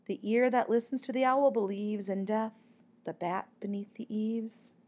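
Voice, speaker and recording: A woman's voice: medium pitch, unhurried, darkish tone, close to an everyday voice. A woman voicing a shy, earnest little male bat poet in a storybook, with a timid, hesitant manner. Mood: hushed, solemn.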